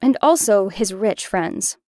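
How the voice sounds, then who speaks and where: A woman's voice: mid-range voice, lively intonation, takes it slowly, a little mellow, no echo, studio quality. A woman voicing an insecure teenage schoolgirl, confiding wistfully in a first-person cartoon story narration. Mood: dejected. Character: insecure teenage schoolgirl confiding about dating a rich popular boy.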